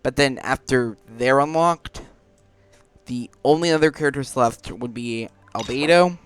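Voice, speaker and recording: Smooth, slow-paced man commentating a game. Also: medium pitch. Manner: thinking aloud. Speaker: gaming video maker. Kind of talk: casual game playthrough commentary. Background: faint game music.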